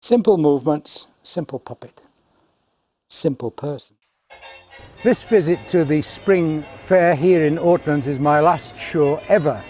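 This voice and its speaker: A man in his seventies, a puppeteer, explaining and showing his craft in a measured way with dry humour. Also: fairly high pitch, darkish tone, faint music behind. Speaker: puppeteer, Punch and Judy showman. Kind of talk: informal talk demonstrating puppets.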